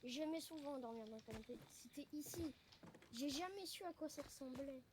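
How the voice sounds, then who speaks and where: A woman's voice: high-pitched, moderate pace, natural voice. A woman playing a reluctant, blunt young survivor in an improvised amateur zombie drama, often repeating words, on a noisy homemade recording. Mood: uncertain, searching. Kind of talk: improvised amateur role-play acting.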